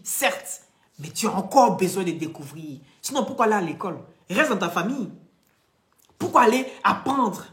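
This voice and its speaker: A woman's voice: mid-range voice, fairly bright tone. Emphatic woman, echoey room. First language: not French. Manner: emphatic, lecturing, addresses viewers directly. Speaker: online vlogger and beauty product seller. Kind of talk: live social media chat and product pitch.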